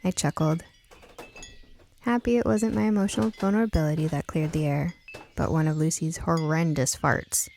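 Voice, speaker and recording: A woman's voice: sits low, steady tone, moderate pace, gravelly, darkish tone, a little hiss or hum. A woman reading scripted first-person fiction as an audiobook narrator.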